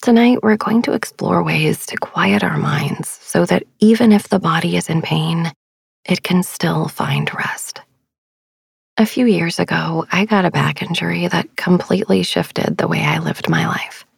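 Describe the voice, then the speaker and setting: A woman hosting a sleep meditation podcast, speaking softly and gently, with some breathiness. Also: fairly low pitch, a little slow, creaky, slightly rough, no room sound, clean studio sound. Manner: gentle, reassuring. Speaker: podcast host. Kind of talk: soothing sleep meditation narration.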